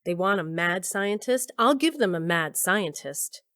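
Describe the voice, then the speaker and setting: A woman's voice: fairly low pitch, animated, unhurried, background noise. An actress playing a sardonic, weary older woman scientist who narrates her own story in a dry way. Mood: wry, exasperated. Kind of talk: first-person audio drama narration.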